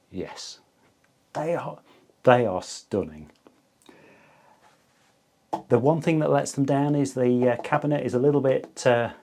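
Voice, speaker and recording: A man in his fifties telling a story casually to camera as a video host, chatty and enthusiastic, pausing for emphasis. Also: lowish voice, a little mellow. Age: 50s.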